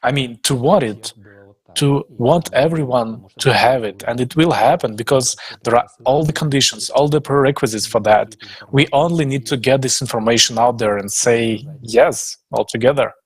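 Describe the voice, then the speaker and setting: A man's voice: medium pitch, normal speed, breathy edge. A man talking informally on a panel in a conversational, enthusiastic manner.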